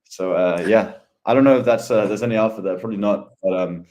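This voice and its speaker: Deep-voiced easygoing man. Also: fast talker, a little mellow. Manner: easygoing, hesitant with many "um"s, laughs easily. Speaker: head of ecosystem, podcast guest. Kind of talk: casual podcast chat.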